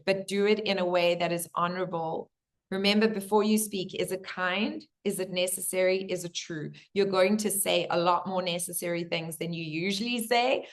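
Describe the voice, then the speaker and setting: A woman with a hoarse voice, talking viewers through spiritual guidance in an earnest, gentle way and calling them guys. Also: on the low side. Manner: addresses viewers as guys, many fillers. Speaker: spiritual coach.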